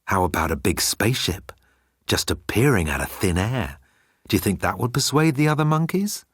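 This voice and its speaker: A man's voice: on the low side, lively intonation, normal speed, husky, no room sound, studio quality. A man voicing a sly, cocky alien swindler who is mocking and condescending toward humans. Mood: sly, persuasive.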